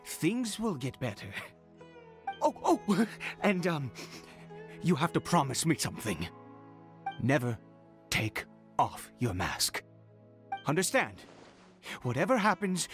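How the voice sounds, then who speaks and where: A fanatical father in a dramatic video game scene. His delivery is slow and theatrical, breaking into pauses and then swelling with emphasis. The pitch ranges widely, and faint music sits behind the voice. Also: big pitch swings. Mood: tender, anxious. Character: fanatical, unhinged father devoted to a mysterious god. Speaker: voice actor. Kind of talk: dramatic game dialogue acting.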